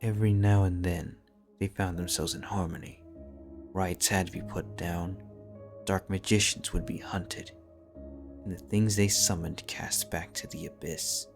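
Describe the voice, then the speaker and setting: A man narrating a fanfiction audiobook in a steady, measured storytelling voice. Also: sits low, gravelly, over music.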